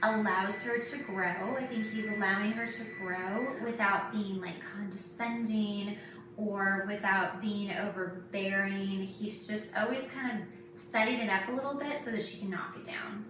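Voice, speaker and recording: A woman's voice: medium pitch, steady tone, a little slow. Woman podcasting in a very echoey room. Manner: informal, filler-heavy, conversational. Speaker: podcast host and author. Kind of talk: casual podcast chat. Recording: very echoey, distant mic, clearly noisy.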